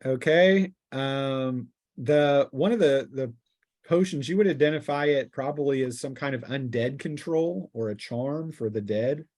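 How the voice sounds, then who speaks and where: A man with a medium-pitched voice runs a tabletop role-playing game. He improvises his narration as game master, feeling his way through descriptions with halting, thinking-aloud delivery. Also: darkish tone.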